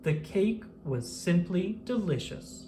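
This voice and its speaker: A brisk, bossy female storybook character, acted out by a read-aloud narrator. She teases and instructs with emphasis and an animated, rising and falling pitch. There is some noise in the background. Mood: teasing. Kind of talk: children's picture book read aloud.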